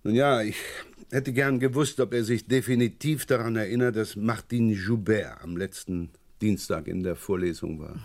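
An actor playing a middle-aged police inspector in a German radio crime drama, gruff, probing and impatient. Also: male voice, on the low side, moderate pace, darkish tone, natural voice. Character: brisk, probing French police inspector, the boss.

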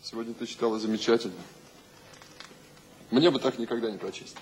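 A man's voice: medium pitch, brisk, a little mellow, slight background noise, lightly acted, rough recording. An actor playing an intense, bitter yet exalted male poet in a radio drama. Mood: quiet. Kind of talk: theatrical radio drama dialogue.

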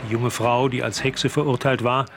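A man narrating a radio drama in a measured, literary storytelling manner. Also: medium pitch, steady tone, background noise, close to an everyday voice. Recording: loud music and street sounds behind.

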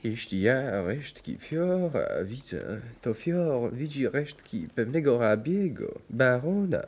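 A sly, excitable ruined count in a radio drama. His mid-pitched, husky voice swoops hugely between breathy confiding and florid declaiming. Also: takes it slowly, exaggerated voice. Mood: sly, wry. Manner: florid, dropping into breathy whispers. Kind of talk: theatrical radio play storytelling.

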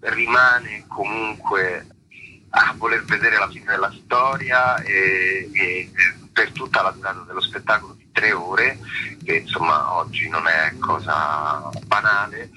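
A man's voice: low-pitched, unhurried, pushed and bright, a little hiss or hum, poor audio. A man, an actor giving reflective, conversational interview answers and searching for his words. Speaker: actor being interviewed about a play.

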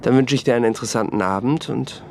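An actor playing a sociable, curious young gentleman, a nephew, in a familiar, well-spoken manner in a radio play. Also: mid-range voice, fast, gravelly, slight background noise, close to an everyday voice. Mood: breezy, curious. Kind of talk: German radio play dialogue.

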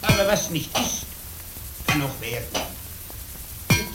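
An actor playing a wry, sardonic village headman in a radio drama, with theatrical, suspenseful pauses. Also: fairly high pitch, very animated, very noisy. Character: wry village headman watching and greeting a rider. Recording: roomy sound with loud music behind.

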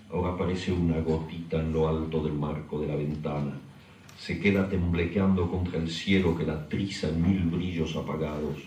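Very deep-voiced man reciting. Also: a little mellow, reverberant, far from the mic, slight background noise, poor audio. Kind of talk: literary recitation.

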